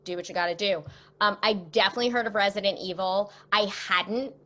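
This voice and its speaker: Casting: female voice actress as interview guest, chatty and expressive, average pitch. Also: a little fast. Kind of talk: casual interview chat.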